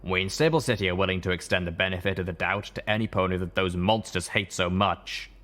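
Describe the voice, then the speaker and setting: Man voicing a rumbling undead ghoul stallion, low. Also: a bit bright. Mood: stern, measured. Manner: measured, warning. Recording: echoey room, audible background noise.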